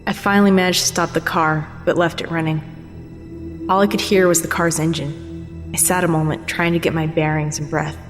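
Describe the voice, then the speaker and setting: A woman's voice: low-pitched, flat delivery, normal speed. A woman reading a scripted first-person horror story as its narrator. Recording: long reverb, clear music behind. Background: music.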